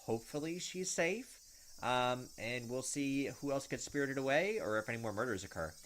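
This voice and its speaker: A man's voice: medium pitch, lively intonation, moderate pace, a bit bright. A young man hosting a gaming video, reading game text aloud and adding casual commentary.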